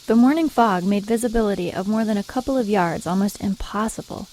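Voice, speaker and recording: An actress playing an earnest, proper nun who narrates strange events in an audio drama. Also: female voice, medium pitch, gravelly, darkish tone, slight background noise, close to an everyday voice, rough recording. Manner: measured storytelling, primly earnest.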